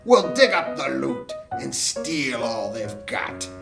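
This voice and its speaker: A man voicing a big, blustering in a storybook, with gruff, thundering talk. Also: mid-range voice, very animated, slow pace, heavily acted. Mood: threatening. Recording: music playing behind the voice.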